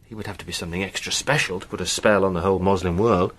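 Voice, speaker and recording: A breezy adventurer. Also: male voice, sits low, steady tone, racing, fairly bright tone, slight background noise. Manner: breezy, with old-fashioned English slang. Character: adventurous upper-class British gentleman, cheerful. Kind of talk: radio drama acting.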